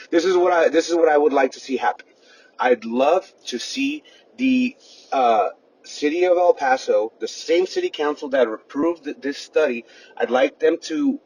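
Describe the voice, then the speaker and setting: A man speaking as an activist caller, earnest and persuasive, halting and often correcting himself on a recorded phone call. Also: mid-range voice, a little mellow, breathy edge.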